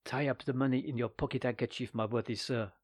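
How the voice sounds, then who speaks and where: A man's voice: medium pitch, steady tone, quick pace, darkish tone, breathy edge, dry recording, studio quality, natural voice. A man voicing a cunning old male French ex-soldier in a storybook reading, coaxing and falsely friendly. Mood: bustling.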